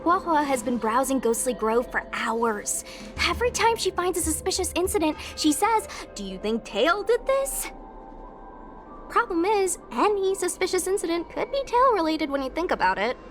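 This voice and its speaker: A woman's voice: very high voice, very animated, normal speed, faint music behind. A woman voicing a chatty, pragmatic young ghost-hunting squad member in a video game. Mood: skeptical.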